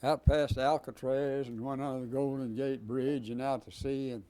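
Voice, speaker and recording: Halting, mellow-voiced man in his nineties. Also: mid-range voice, steady tone, clear, resonant tone, rough recording. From Texas. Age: 90s. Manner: halting, many pauses and fillers. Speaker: Navy veteran guest. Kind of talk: oral history interview.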